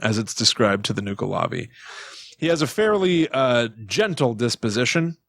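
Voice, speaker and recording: A man's voice: mid-range voice, very animated, moderate pace, gravelly, fairly bright tone, dry recording, clean studio sound. A man co-hosting a podcast, telling folklore in a casual, wry and playful chat. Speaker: podcast co-host.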